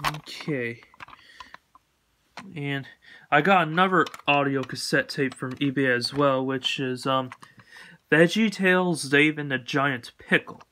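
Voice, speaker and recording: A man with a slightly high voice casually shows items from his collection. He talks haltingly and repeats himself, keeping a plain, matter-of-fact delivery throughout. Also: sharp tone, slight background noise. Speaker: collector showing purchases. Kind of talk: casual unscripted collection update.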